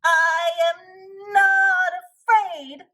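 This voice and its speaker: A woman voicing a timid male toad in a storybook, earnest and expressive, putting on bravery. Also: very high-pitched, drawn-out words, pitched above the performer's own voice, exaggerated voice. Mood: frightened.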